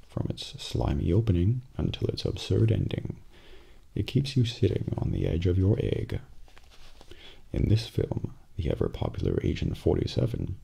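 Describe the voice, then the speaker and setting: A man playing a calm, deadpan professional assassin in a soft-spoken ASMR role play, often near a whisper and very deliberate. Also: low voice, creaky, slightly rough, full character voice. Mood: dry, reading aloud. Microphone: close binaural microphone. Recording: faint background noise, close binaural mic.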